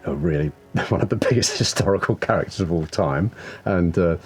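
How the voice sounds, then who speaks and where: An older man, an actor, talking casually in an interview in a halting, self-interrupting way. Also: sits low, a little mellow, slightly rough. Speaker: actor interviewed behind the scenes. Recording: music behind the voice.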